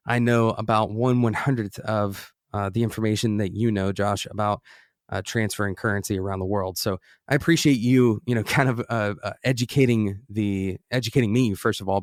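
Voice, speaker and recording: An American man hosts an interview podcast in a fairly low voice. He thinks aloud in a halting, conversational way, rephrasing as he goes. The sound is very dry, with no room to it. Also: no room sound. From United States. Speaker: podcast host interviewing a guest. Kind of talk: conversational interview, long musing questions.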